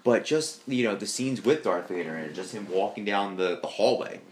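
A man co-hosting a podcast, chatting casually and enthusiastically about films, with a deep voice and lots of fillers. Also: expressive, normal speed, slight background noise. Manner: rambling, enthusiastic fan talk with fillers. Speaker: podcast co-host. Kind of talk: casual chat.

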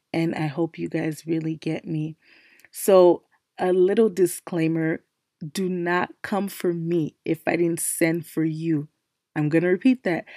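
A young woman talks through her solo podcast in a deep, low register, her voice still worn and hoarse from losing it days ago. She is chatty and emphatic, circling back to restate each point. The sound is close and dry, with no room around it. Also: mellow, no room sound. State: recovering from a lost voice, speaking low. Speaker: podcast host. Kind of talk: casual solo podcast chat.

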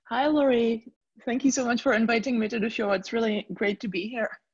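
A woman, a guest talking about her tour business in a thoughtful, measured way. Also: a little high, steady tone, breathy edge, no room sound, muffled recording. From Czech Republic. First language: Czech. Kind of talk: conversational interview.

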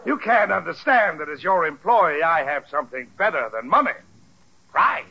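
An actor playing a hearty, wily, condescending company boss in an old radio sitcom, pompous, theatrical and jovially persuasive. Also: male voice, a little high, expressive, moderate pace, vocal fry, background noise. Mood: mock indignant. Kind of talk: 1940s radio sitcom acting. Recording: muffled vintage radio sitcom recording.

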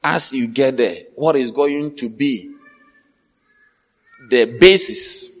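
Animated preacher in an echoing hall. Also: male voice, medium pitch, slow. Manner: animated, asks the congregation questions, shouts and laughs. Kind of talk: lively church sermon. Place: church. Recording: reverberant church hall with congregation.

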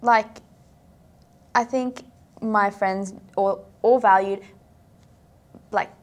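A woman's voice: mid-range voice, fairly even intonation, extremely slow. A young woman from South Africa, chatting casually as a podcast guest and filling her talk with "like". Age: young adult. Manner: chatty, filler-heavy, frequent "like". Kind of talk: casual interview chat.

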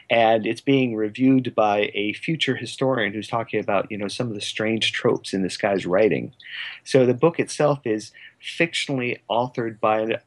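A man speaking as a podcast guest, casual and thoughtful, halting often as he gathers his ideas. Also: lowish voice. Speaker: podcast guest, playwright and author. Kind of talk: casual conversational discussion.

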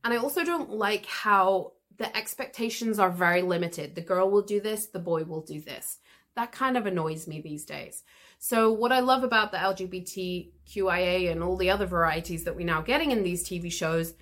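A woman hosting an English-learning podcast, giving chatty advice straight to the listener in a lively, expressive way. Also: fairly low pitch, normal speed. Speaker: English teacher and podcast host.

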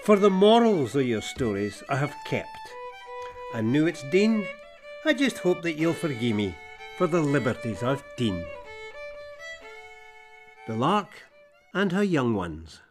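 A man with a Scottish accent reading rhyming fables in Scots as an expressive storyteller. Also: on the high side, measured pace, long pauses, music in the background. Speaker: storyteller, verse reader.

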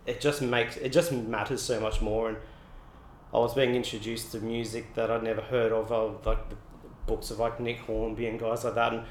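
A man's voice: mid-range voice, little pitch movement, normal speed, slight background noise. A young Australian man, an artist, chatting in an interview in a loose, rambling way full of "like" and restarts. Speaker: artist guest. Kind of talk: casual interview. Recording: roomy echo.

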